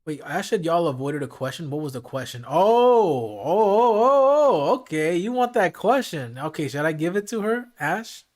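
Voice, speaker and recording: A man with a fairly high voice chats easily with his live-stream viewers late at night. His voice is hoarse and a little raspy after hours of nonstop talking. He keeps his tone casual and talks straight to the people watching. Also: sing-song, normal speed, few pauses.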